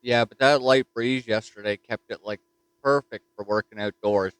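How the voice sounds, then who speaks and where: A man with a Newfoundland accent chatting casually as a ham radio operator from his home station, relaxed and easygoing. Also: fairly low pitch, moderate pace, noisy background. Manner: easygoing, says "roger that".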